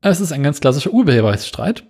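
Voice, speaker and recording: Male podcast co-host, slightly husky, relaxed and dry as he talks through news. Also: fairly high pitch, fast. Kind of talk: casual news chat.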